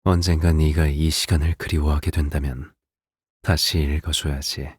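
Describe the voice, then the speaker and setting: An actor playing a soft-spoken, controlling father in a video game, speaking in a gentle, deliberate, coaxing way with quiet menace. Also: a bass voice, flat delivery, slightly rough. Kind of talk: scripted game voice acting.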